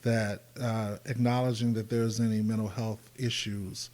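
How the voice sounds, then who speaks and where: Flat-toned counselor in his sixties. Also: on the low side, measured pace. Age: 60s. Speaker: mental health counselor. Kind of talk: panel discussion.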